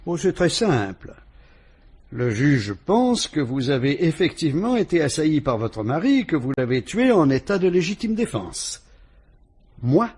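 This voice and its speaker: An actor with a French accent playing a calm, shrewd police inspector who politely and ironically probes a suspect in a vintage radio drama. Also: male voice, on the high side, slightly rough, full character voice. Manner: measured, probing, politely ironic.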